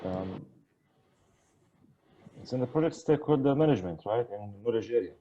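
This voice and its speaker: A man's voice: mid-range voice, lively intonation, normal speed, highs cut off, slight background noise. A man in a training class giving short answers to the instructor's questions. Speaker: student.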